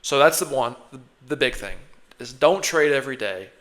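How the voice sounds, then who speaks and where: A man talks close into his microphone while fighting off a slight cold, sniffling between thoughts. His voice sits at an ordinary middle pitch. He rambles and corrects himself as he reflects, and he is quite hard on himself. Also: expressive, normal speed, a bit bright. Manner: rambling, thinking aloud, self-critical. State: a little sick, sniffling. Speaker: day trader reflecting on his own trading. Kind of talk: casual self-reflective monologue.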